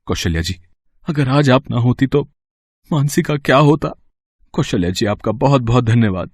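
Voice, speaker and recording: A man's voice: medium pitch, expressive, moderate pace, dry recording, clean studio sound, natural voice. A man playing a righteous, protective uncle in a cartoon moral story, dramatic and reproachful. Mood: emotional. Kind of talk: Hindi animated moral story acting.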